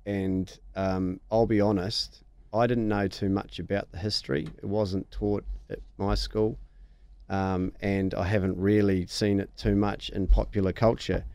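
Deep gravelly New Zealand politician. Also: male voice, flat delivery, unhurried, a little mellow. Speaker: member of parliament. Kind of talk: radio panel discussion.

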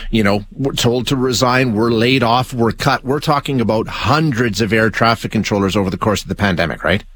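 A man's voice: fairly low pitch, fairly quick, fairly bright tone. A man hosting a conversational radio interview in an emphatic way.

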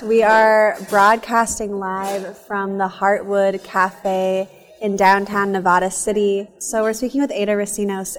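A woman's voice: mid-range voice, in a large hall. A woman hosting a podcast, reading sponsor notes and a guest bio, then interviewing in an earnest conversational way in a cafe. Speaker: podcast host. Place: cafe, live recording.

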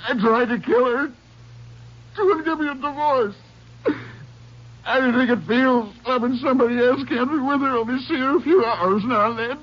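An actor with an American accent playing an agitated, guilty husband who pleads and makes excuses in a 1950s radio drama. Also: male voice, very high-pitched, normal speed. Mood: tearful, bitter. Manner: pleading, defensive, excitable. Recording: dull old 1950s radio recording.

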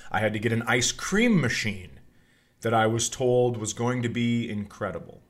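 A man's voice: mid-range voice, animated, moderate pace. Middle-aged man telling rambling, sweary stories. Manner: rambling, profane anecdotes. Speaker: podcast host and comedian. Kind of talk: casual comic storytelling.

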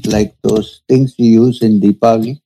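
A man speaks slowly and reflectively, as a spiritual teacher recounting his past. His voice is gravelly and husky, with a dark, mellow tone. He pauses often and lets each phrase settle. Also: lowish voice, fairly even intonation, low-quality recording. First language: Urdu. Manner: slow, measured storytelling with pauses. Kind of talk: reflective storytelling in an interview.

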